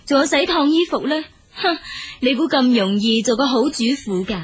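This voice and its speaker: High-voiced, gently bossy Cantonese young wife. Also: female voice, lively intonation, normal speed, husky, exaggerated voice. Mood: insistent. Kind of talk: Cantonese radio drama acting.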